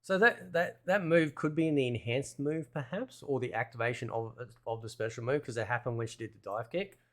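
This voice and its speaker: A man with a mid-pitched voice delivers casual gaming commentary as a channel host. He talks in a loose, rambling way, working out his thoughts aloud. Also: brisk, fairly bright tone, soft background music. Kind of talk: casual game trailer commentary.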